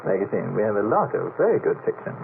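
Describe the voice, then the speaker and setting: A man's voice: on the low side, very animated, quick pace, gravelly. A man taking part informally in a radio panel discussion as a magazine's representative. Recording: noisy, phone-like old radio broadcast.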